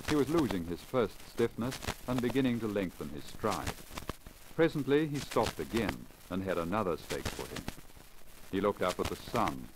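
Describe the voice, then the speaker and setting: A man reading a literary story in a measured, formal, carefully enunciated 1950s radio storytelling manner. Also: lowish voice, sing-song. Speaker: story reader, radio broadcaster. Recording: crackly deteriorated 1950 lacquer disc recording. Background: surface crackle and noise.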